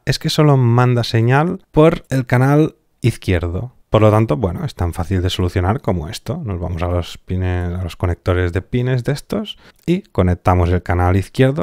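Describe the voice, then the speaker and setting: A man giving an informal software tutorial, offhand and thinking aloud as he works, close on the mic. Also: on the low side, no room sound. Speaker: online audio software instructor.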